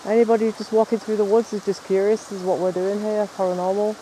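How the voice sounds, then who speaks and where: A man's voice: high-pitched, little pitch movement, fast talker, warm tone, a little hiss or hum, rough recording. A man chatting casually while investigating the woods at night, rambling and explaining as he goes. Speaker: paranormal investigator filming. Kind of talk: casual on-location chat. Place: woods by a stream at night, in rain.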